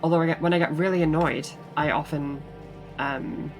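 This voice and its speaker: A woman's voice: low-pitched, steady tone, normal speed, faint music behind. Rambling woman with a shifting British accent. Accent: shifting mix of English, Scottish and American. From Britain. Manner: rambling, with many repeated words and restarts. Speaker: game streamer. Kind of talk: casual chat while playing a game.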